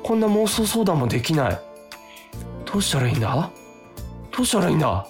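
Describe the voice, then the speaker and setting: A man's voice: a little high, very animated, quick pace. Radio comedy lead: young salaryman, self-pitying, fantasy-prone, overwrought inner monologue, music under. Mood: despairing. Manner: overwrought, dramatic self-talk. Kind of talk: comic radio drama acting with inner monologue. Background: background music.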